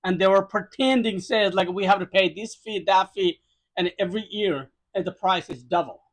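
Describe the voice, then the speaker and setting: A man's voice: high voice, normal speed, low-quality recording. A man from Nepal with a Nepali accent, giving a conversational first-hand account as a podcast guest in emphatic, repetitive non-native English phrasing.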